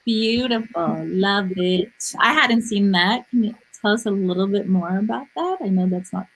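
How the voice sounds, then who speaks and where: A woman's voice: mid-range voice, moderate pace, fairly bright tone, breathy edge, rough recording. A woman hosting a casual video call interview, enthusiastic and mixing in Spanish phrases as she asks questions. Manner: enthusiastic, code-switches casually. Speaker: host. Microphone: laptop webcam mic on video call.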